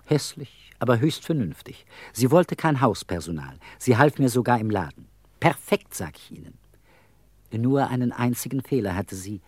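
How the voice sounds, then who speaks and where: Casting: eccentric, talkative middle-aged beggar with bourgeois airs, very lively pitch, dry close sound, radio drama. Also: male voice, moderate pace, husky, no echo. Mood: bitterly amused. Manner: theatrical, rambling storyteller, wry. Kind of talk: radio play acting.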